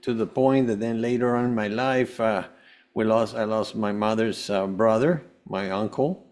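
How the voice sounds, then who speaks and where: A man from Venezuela, a podcast guest, telling a personal story in a halting way, often repeating words. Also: on the low side, a little mellow. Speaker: podcast guest, holistic health coach.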